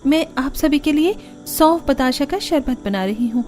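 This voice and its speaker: A woman voicing a gentle, dutiful young daughter-in-law in a cartoon story, speaking politely and meekly. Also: high-pitched, expressive, normal speed, soft background music. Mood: earnest. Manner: polite, meek.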